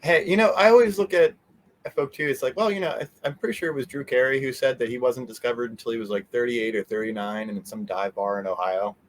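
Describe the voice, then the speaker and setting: A man's voice: mid-range voice, steady tone, fast talker. Male interview guest, middle-aged. Mid-range voice, easygoing, meandering, conversational delivery. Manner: easygoing, meandering, chuckles often. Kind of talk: casual chat.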